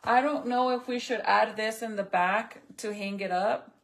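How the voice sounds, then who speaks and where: A woman's voice: on the high side, steady tone, normal speed. A woman whose first language is Spanish, chatting casually and bluntly to her viewers while working on a craft project. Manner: blunt, profane, chatty, talks to viewers and commenters. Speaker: vlogger.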